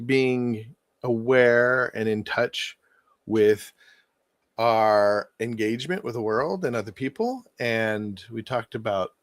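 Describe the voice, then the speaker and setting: A man's voice: medium pitch, dry recording. A man in his forties co-hosting a casual conversation, rambling and thinking aloud with frequent restarts. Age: 40s. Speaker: podcast co-host.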